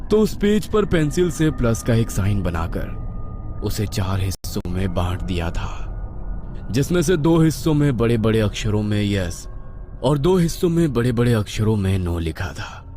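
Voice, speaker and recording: A man narrating a scripted horror story in a suspenseful, dramatic manner. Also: medium pitch.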